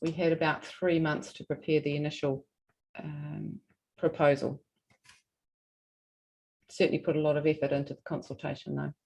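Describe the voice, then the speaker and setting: A woman with a New Zealand accent and a fairly low voice presents at a formal hearing. She feels her way through the points, often stopping to rephrase. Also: flat delivery, vocal fry, a little mellow, poor audio. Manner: halting, hesitant delivery with frequent self-corrections. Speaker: regional council representative presenting.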